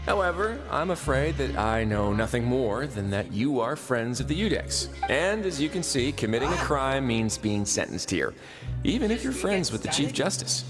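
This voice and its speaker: An actor playing a composed, courteous prison duke in an anime game, with a polished, wryly amused way of talking. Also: male voice, mid-range voice, sing-song, normal speed, close to an everyday voice. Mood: smooth, wry. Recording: game music behind.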